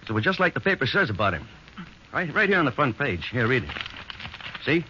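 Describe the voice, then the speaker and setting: A jittery, stammering young newsboy. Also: male voice, medium pitch, big pitch swings, brisk, bright, forceful tone, slight background noise. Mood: nervous. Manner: stammering, hesitant. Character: nervous young male newsboy. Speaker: witness. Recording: dull lo-fi 1940s radio broadcast.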